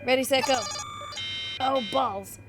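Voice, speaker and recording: A woman's voice: sits high, slow, background noise. A woman playing a tabletop game, playful and casual, counting down before her rolls. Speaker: player.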